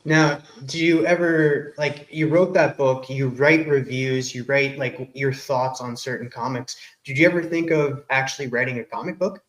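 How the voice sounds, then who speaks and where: A man hosts a relaxed interview and asks long, winding questions padded with fillers. His voice sits at an ordinary middle pitch. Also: normal speed, hardly any pauses, low-quality recording. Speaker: podcast host.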